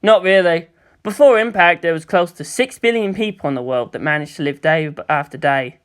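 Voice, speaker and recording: A woman's voice: lowish voice, brisk, clean tone, close to an everyday voice. A woman voicing a thoughtful, world-weary teenage boy in a storybook reading. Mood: grave, weary.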